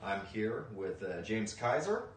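A man's voice: lowish voice, big pitch swings, unhurried. A man in his thirties hosting a casual interview as if chatting with a buddy. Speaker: host and interviewer, podcast writer. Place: indoors on a sofa in a living room. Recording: roomy, distant mic, noticeable room noise.

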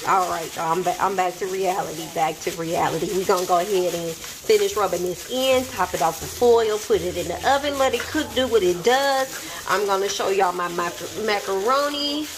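Woman vlogger, casual and playful, mid pitch, home kitchen chat. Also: moderate pace, noisy background. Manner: chatty, playful, says y'all a lot. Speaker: cooking vlogger. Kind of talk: casual cook-along chat.

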